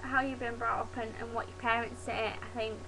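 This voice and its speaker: A young woman from Yorkshire with a softened Yorkshire accent, chatting casually to her webcam. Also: a little high, little pitch movement, a little fast, background noise. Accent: Yorkshire, softened toward standard British English. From Yorkshire, England. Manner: chatty, demonstrates dialect words, laughs at herself. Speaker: vlogger. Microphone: webcam mic.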